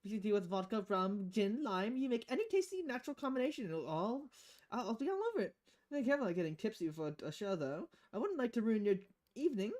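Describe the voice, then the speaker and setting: A woman's voice: medium pitch, sing-song, fast, a little mellow. A woman streaming a visual novel, reading the text aloud expressively and often stumbling and correcting herself. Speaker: video game streamer.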